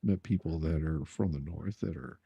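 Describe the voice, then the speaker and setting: A man's voice: very deep voice, normal speed, creaky, dark tone, breathy edge, no echo, muffled recording. A man hosting a live stream in casual, joking chat with a teasing, sarcastic manner.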